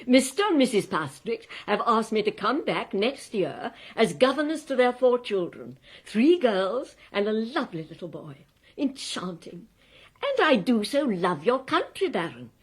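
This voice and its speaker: An actress playing an earnest, naive English governess with a British accent in a radio drama, genteel and insistent in manner. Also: female voice, a little high, sing-song, moderate pace, husky. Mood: pleading, fond.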